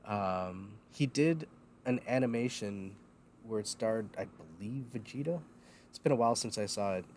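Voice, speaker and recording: A man's voice: lowish voice, normal speed, a little mellow, a little hiss or hum. A man hosting a casual livestream chat, rambling with lots of ums, feeling a bit loopy and foggy. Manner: rambling, many ums and uhs, laughs at himself. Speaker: host.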